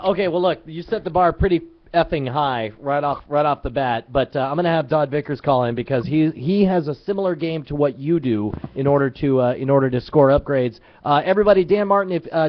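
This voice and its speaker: A man hosting a live talk show in a casual style, laughing often and stumbling through fillers. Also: a little high. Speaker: podcast host. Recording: lo-fi live stream audio.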